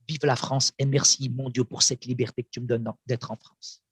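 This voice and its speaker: Fervent husky-voiced man preaching. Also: mid-range voice, dry recording. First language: Arabic. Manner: fervent, emphatic, with repetitions and pauses. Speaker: pastor. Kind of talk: encouraging testimony address.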